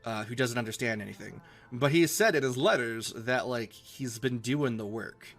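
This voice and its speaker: Casual male book-club host, medium pitch, thinking aloud in slangy stops and starts, faint music underneath. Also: a bit bright. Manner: informal, slangy, halting while thinking aloud. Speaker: host of live classic novel readings. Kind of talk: casual book discussion between chapters.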